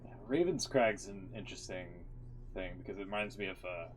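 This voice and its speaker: A man's voice: sits low, brisk, low-quality recording. A man giving casual, rambling live-stream reactions, swearing freely.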